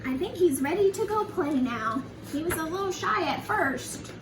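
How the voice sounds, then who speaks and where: A woman's voice: very high-pitched, expressive, moderate pace. A woman reading a picture book aloud to young children, in a sing-song manner with chatty asides addressed straight to them. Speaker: children's storyteller, early childhood educator. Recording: roomy, distant mic, faint background noise.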